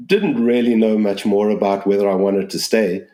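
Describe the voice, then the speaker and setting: A man in his sixties from Zimbabwe with a mixed Southern African accent, answering interview questions in a measured, explanatory way. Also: on the low side, fairly even intonation, mellow, echoey room. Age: 60s. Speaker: business school dean, interview guest.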